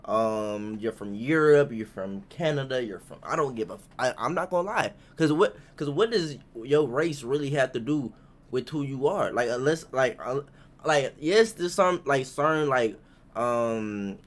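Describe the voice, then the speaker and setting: Guy reacting off the cuff, super animated pitch, rambles, blunt and slangy. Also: a little slow. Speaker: host reacting to a video. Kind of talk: casual off-the-cuff commentary.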